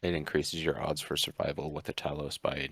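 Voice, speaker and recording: A man's voice: very deep voice, flat delivery, brisk, vocal fry, fairly bright tone, breathy edge, dry recording, studio quality. A man chiming in with short agreeing remarks as co-commentator in a casual chat. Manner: brief agreeing interjections. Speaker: co-host commentator.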